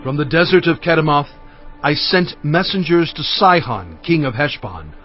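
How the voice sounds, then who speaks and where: Man doing moses telling the story, steady, slightly high voice, muffled with soft music behind. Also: moderate pace. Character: Moses, an aging male leader recounting his people's journey. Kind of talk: dramatized scripture reading. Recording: dull lo-fi audio with faint music.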